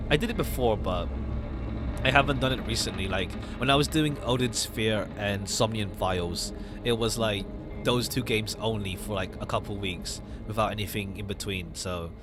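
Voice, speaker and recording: A man livestreamer chatting casually with viewers in a hesitant, halting way. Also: on the low side, noisy background. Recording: jazzy game music behind. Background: jazzy video game background music.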